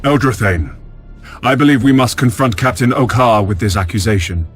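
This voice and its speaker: An actor playing a resolute, dutiful space navy admiral in a video game, with a firm and formal military delivery. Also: male voice, medium pitch, moderate pace, slightly rough, lightly acted. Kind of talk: video game cutscene acting. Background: music.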